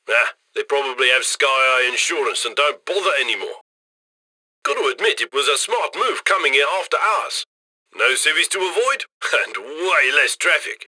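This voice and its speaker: Game handler: jovial male criminal mastermind, higher-pitched, very sharp bright tone, theatrical and wry, close dry radio comms. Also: no room sound, heavily acted. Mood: wry, amused. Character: cocky, jovial male heist mastermind guiding his crew. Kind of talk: scripted video game mission voice lines.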